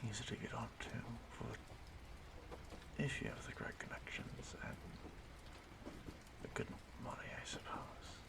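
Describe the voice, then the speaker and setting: A man playing an ageless stalker in an audio roleplay, speaking to his prey in a hushed, halting, softly menacing way, often breathy and whispering. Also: fairly low pitch. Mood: sly, taunting. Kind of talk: audio roleplay monologue addressed to the listener.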